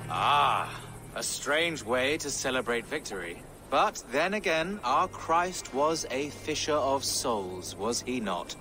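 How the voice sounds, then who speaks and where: An actor playing a pious, worried bishop in a game cutscene, speaking in a measured, cautious way. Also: male voice, medium pitch, sing-song, background noise. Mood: grave. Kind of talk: video game cutscene acting.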